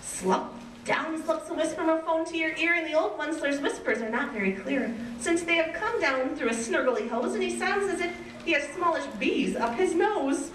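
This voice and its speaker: Female verse narrator, very high voice, scripted stage storytelling, captured at a distance in a roomy space. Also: moderate pace. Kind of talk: readers theater verse narration. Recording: roomy, distant, faint noise.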